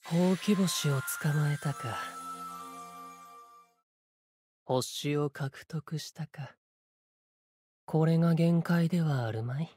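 Solemn cryptic sword spirit from a game, super slow n breathy, close dry sound. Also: male voice, a little high, a little mellow, dry recording. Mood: cool, imperious. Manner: measured, portentous, archaic phrasing. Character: solemn, cryptic sword spirit warrior bound to stars and death. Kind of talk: Japanese game character voice lines.

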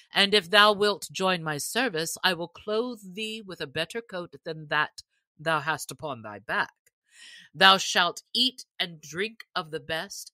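Lady playing a pompous old-timey sheriff guy, hot tempered, slow and sharp. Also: fairly low pitch, no room sound, heavily acted. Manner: pompous archaic speech. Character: haughty, quick-tempered male sheriff of storybook England.

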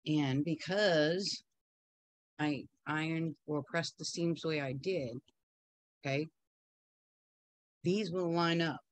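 A woman's voice: low voice, slow, pauses often, dry recording. A middle-aged woman teaching quilting in a casual, practical way, chatting as she pins and sews in her home sewing studio. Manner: chatty, practical, talks while working.

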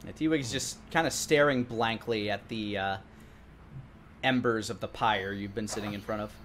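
A man in his thirties narrating a tabletop game as dungeon master, halting and pausing as he goes. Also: fairly low pitch, fairly bright tone. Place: indoor room, online game stream. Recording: clear background noise on mic.

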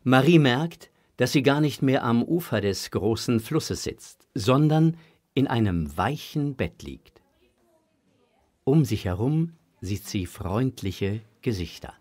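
Slow, expressive male storyteller. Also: medium pitch, dry recording. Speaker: narrator. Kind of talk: scripted narration of a children's audio drama.

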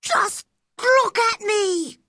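A woman's voice: high-pitched, very animated, slow, fairly bright tone, no room sound, clean studio sound, voice pushed up, exaggerated voice. A woman voicing a frail young boy in a children's storybook, emphatic and imperious, with fear and fierce pride in him. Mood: furious, proud. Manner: emphatic, commanding outbursts.

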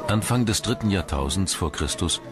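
A man reading scripted documentary narration in a measured, formal manner. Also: low voice, lively intonation. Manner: measured, formal read delivery. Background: background music.

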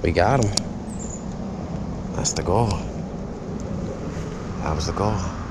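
A man's voice: sits low, slow, very noisy. A man chatting casually to himself as a fishing vlogger, informal and repeating his phrases, recorded outdoors on a body-worn action camera. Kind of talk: casual solo vlog chat while fishing. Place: outdoors in a backyard beside a small pond.

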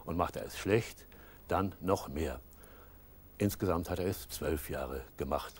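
A man's voice: deep voice, unhurried, a little hiss or hum. A man telling a wry, ironic remark in an interview.

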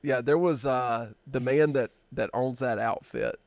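A man in his fifties hosting a podcast, telling a story in a halting, drawn-out way with many fillers, at a desk mic in a dark studio. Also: medium pitch, no echo. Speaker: podcast host. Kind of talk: casual storytelling chat.

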